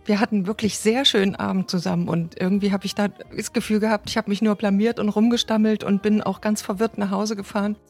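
A woman's voice: medium pitch, level tone, fast talker, few pauses. Reflective woman in her fifties. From Thuringia, Germany. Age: 50s. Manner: reflective and thoughtful. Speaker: interviewee. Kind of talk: personal storytelling. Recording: soft music underneath.

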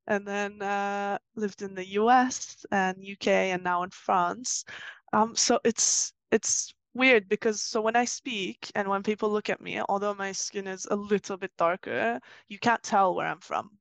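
A woman's voice: mid-range voice, steady tone, unhurried, dry recording. A woman from Turkey giving conversational, explanatory answers as an interview guest. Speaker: interview guest, author and financial journalist.

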